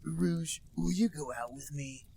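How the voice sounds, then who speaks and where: A man's voice: a little high, measured pace, vocal fry, warm tone, slightly rough, pitched above the performer's own voice, full character voice. A man voicing a nervous teenage chameleon boy in a slightly raised, earnest tone. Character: nervous teen male chameleon.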